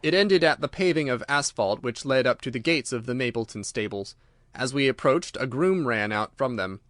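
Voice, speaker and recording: A man's voice: medium pitch, lively intonation, moderate pace, fairly bright tone, no echo, clean studio sound. A man reading scripted narration of a classic detective story.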